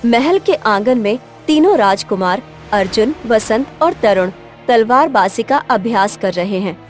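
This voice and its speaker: A woman narrates a scripted story. Her voice is pitched a little high, in a storyteller's delivery. Faint music plays underneath her narration. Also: lively intonation, unhurried.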